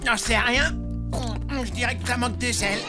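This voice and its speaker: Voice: medium pitch, steady tone, moderate pace, lightly acted. An actor voicing a laid-back, food-loving kid sidekick in a cartoon, offhand and slangy. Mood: nonchalant. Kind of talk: animated cartoon dub acting. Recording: very loud music behind the voice.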